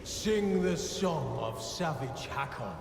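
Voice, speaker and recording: A man's voice: a little high, lively intonation, slow pace, creaky, noisy background, exaggerated voice. A man playing a fierce barbarian cultist chanting incantations to his war god in a fantasy video game. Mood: fervent ritual. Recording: echoing hall, distant, loud music.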